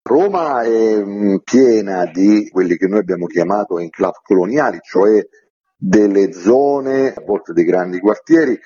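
A man being interviewed as an author, explaining his work in a measured, methodical way, point by point. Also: lowish voice, dark tone, slightly rough. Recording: dull, lo-fi interview audio.

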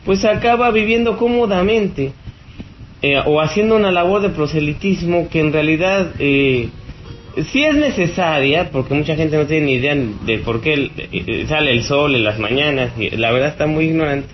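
A man with a Mexican accent giving informal, opinionated political commentary as a radio host, rambling and halting, with blunt scorn. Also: on the high side, highs cut off, a little hiss or hum. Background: music.

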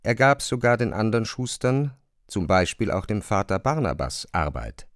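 A man narrating a literary radio drama in a measured, precise manner. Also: on the low side, sing-song, dry recording, clean studio sound.

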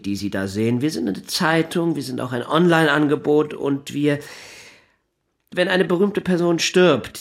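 An actor playing a flustered, talkative middle-aged newspaper science editor in a naturalistic radio drama, halting and digressive, with a husky voice. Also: male voice, mid-range voice. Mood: defensive. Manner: halting, digressive, breaks off sentences.

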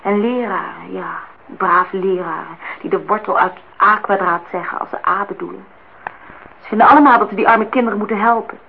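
A woman's voice: medium pitch, fairly quick, gravelly, slightly rough. A woman acting in a radio play as a defiant, idealistic young student, earnest and outspoken. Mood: defiant, scornful. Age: young adult. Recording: dull, noisy old radio recording.

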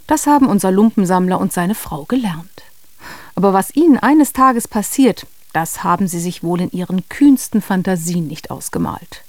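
A woman's voice: fairly low pitch, expressive, moderate pace, darkish tone, no echo. Dry-sounding woman narrating for children. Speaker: radio presenter and narrator. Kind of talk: scripted storytelling narration for children.

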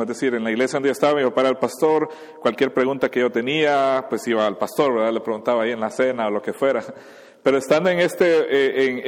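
A man's voice: medium pitch, in a large hall. A man in his forties from Honduras and Guatemala, a guest pastor giving a conversational personal testimony with fillers and restarts. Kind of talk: personal testimony before a sermon.

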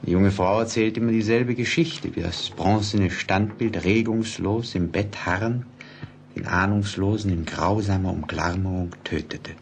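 An actor in a German radio play, playing a grave, measured man who soberly reports on a mysterious death. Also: deep voice, gravelly. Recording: noisy old radio play recording.

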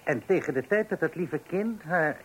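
An actor playing a tactless, pedantic man in a radio play, deliberate and fussy, often repeating his own words. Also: fairly high pitch, expressive, natural voice. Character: tactless, pedantic male acquaintance, fussily doing sums. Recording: noisy old radio play recording.

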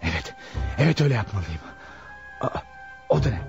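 A man's voice: lowish voice, sing-song, brisk, vocal fry, highs cut off, music in the background. An actor playing a nervous, frightened, stammering male driver in a radio play, exclaiming and muttering to himself. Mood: anxious musing. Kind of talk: Turkish radio theatre acting.

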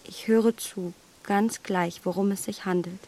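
A woman's voice: medium pitch, steady tone, unhurried, mellow, a little hiss or hum, lightly acted. An actress playing a well-bred, impulsive young English lady in a German radio play, polite on the surface and privately flustered. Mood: apologetic, sincere. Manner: polite, breathy asides to herself.

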